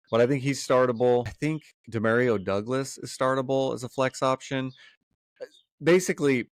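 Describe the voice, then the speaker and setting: A man's voice: fairly low pitch, no echo. Young male co-host, congested with a cold, casual and hedging, dry close sound. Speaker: fantasy football co-host. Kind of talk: casual podcast analysis.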